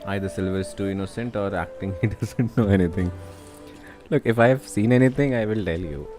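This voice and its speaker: A man's voice: fairly low pitch, brisk, dark tone. A young man with an Indian accent chatting casually as he livestreams a game. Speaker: game streamer. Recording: game music behind the voice. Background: video game music.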